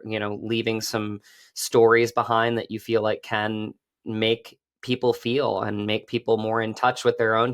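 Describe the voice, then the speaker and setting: A man's voice: fairly low pitch, a bit bright, smooth. A man talking earnestly and effusively in a conversational interview, as an actor who is the guest.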